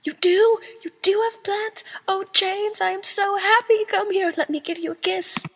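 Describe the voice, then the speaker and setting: A woman's voice: very high voice, steady tone, normal speed. A woman voicing a flamboyant, attention-seeking seventeen-year-old boy with melodramatic, teasing delivery in an amateur audio drama. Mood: gushing. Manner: melodramatic, playful whining.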